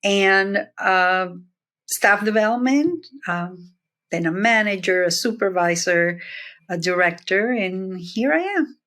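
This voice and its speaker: A woman's voice: medium pitch, animated, slow, a bit bright. A middle-aged woman, a nurse leader from Puerto Rico who grew up in New Jersey, speaking reflectively as an interview guest with many fillers and restarts. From Puerto Rico, raised in New Jersey. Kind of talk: interview conversation.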